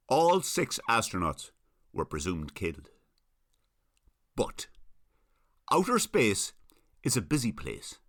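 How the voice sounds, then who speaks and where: A man's voice: medium pitch, a bit bright, no echo, studio quality. A man narrating in a theatrical comedic radio style, with an arch, dramatic delivery.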